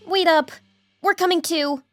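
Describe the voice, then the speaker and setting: High-voiced, wary young student. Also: female voice, heavily acted. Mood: reluctant, hushed. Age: young adult. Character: cautious, clever young female student. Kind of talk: audiobook drama acting.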